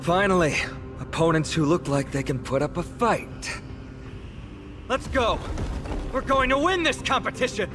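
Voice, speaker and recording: A man's voice: high-pitched, animated, a little slow, pauses often, music in the background. A male actor playing a playful, boastful warrior in a video game, with a theatrical, teasing manner. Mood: excited.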